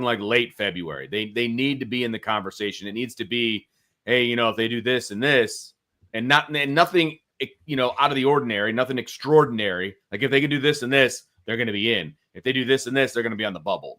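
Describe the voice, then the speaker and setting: A man with a medium-pitched, bright and forceful voice talks sports as a co-host. He is casual and colloquial, stating opinions emphatically and repeating key phrases to drive them home. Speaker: sports show co-host and analyst.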